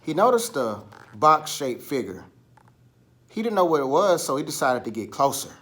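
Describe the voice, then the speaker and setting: A man telling a children's story in an animated style, with dramatic pauses and drawn-out, emphatic words. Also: fairly high pitch. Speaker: storybook reader.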